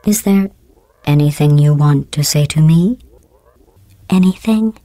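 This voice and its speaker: A woman voicing a cold, sarcastic female AI computer in a video game, speaking deadpan insults through a synthetic robotic filter. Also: low voice, a little slow, dry recording. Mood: deadpan mocking. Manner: deadpan, passive-aggressive insults in a calm even tone. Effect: synthetic robotic voice processing. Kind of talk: game voice lines. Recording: dry close studio recording.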